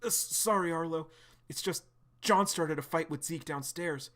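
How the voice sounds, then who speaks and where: Nervous stammering student, hurried and apologetic, put on by a man. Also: on the high side, a bit bright, voice pushed up, lightly acted. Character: nervous young schoolboy, jittery and apologetic.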